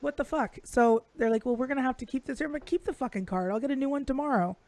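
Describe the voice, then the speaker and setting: Raspy-voiced woman telling stories. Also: high voice, fast talker, darkish tone, dry recording. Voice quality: raspy today. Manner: profane, storytelling. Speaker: podcast co-host. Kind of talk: casual chat.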